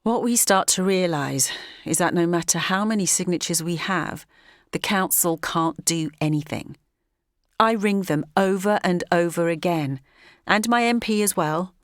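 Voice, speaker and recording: A woman playing a determined, organised small-town campaigner in an audio drama, with an articulate, measured delivery. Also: fairly low pitch, close to an everyday voice. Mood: earnest, frustrated. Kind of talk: naturalistic audio drama, dialogue and narration.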